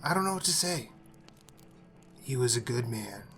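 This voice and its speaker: A man's voice: medium pitch, very animated, moderate pace, over music, close to an everyday voice. A man acting as a bruised, loyal, understated Marine in a fan-made audio drama. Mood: somber.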